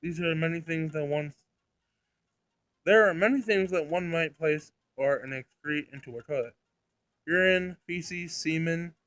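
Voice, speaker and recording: A man's voice: a little high, unhurried, a bit bright, no echo. A man voicing a literal-minded female android with a formal, pedantic, emotionless way of talking in a visual novel. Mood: matter-of-fact. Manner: pedantic, clinical, formal wording.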